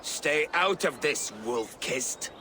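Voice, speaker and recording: An actor playing a proud, stubborn Danish warrior in a video game, speaking bluntly and brusquely. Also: male voice, a little high, animated, slow pace, bright, forceful tone, a little hiss or hum, full character voice. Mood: scornful. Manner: brusque, scornful. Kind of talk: dramatic video game acting.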